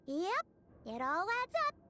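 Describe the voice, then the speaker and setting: Very high-pitched, excitable little sprite. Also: female voice, a little slow, slight background noise, exaggerated voice. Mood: musing. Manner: exclaiming, inquisitive, chatty. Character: small excitable chirpy childlike female sprite companion. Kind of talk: animated video game dialogue.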